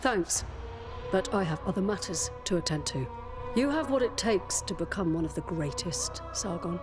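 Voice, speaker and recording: A woman playing a stern, wise warrior mentor in a video game, speaking gravely and instructively. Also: on the low side, expressive, normal speed, close to an everyday voice. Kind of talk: dramatic video game acting. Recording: music behind the voice.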